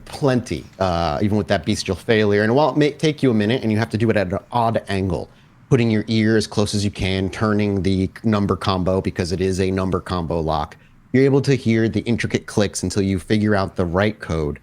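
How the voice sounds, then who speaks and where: Low-voiced man narrating a tabletop game. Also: a little fast. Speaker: game master of a vampire tabletop roleplaying podcast.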